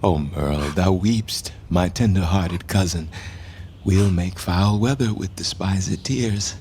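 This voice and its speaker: A man's voice: low-pitched, slow, a little mellow, a little hiss or hum. A man acting a grieving, bitterly ironic king facing deposition in a Shakespearean radio play, with brooding, theatrical verse delivery. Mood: bitter grief.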